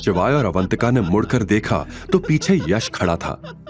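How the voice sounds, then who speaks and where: A man's voice: on the low side, very animated, normal speed. A man narrating a scripted audio drama in a dramatic storytelling style. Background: music bed.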